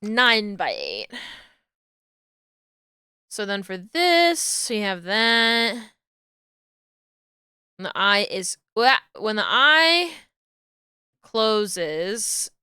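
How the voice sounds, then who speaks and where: A woman on a live stream thinking aloud as she explains her rigging steps to chat, her voice going hoarse. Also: medium pitch, big pitch swings, slow, long pauses, pushed and bright, dry recording, studio quality. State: losing her voice. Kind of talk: casual live-stream talk while working.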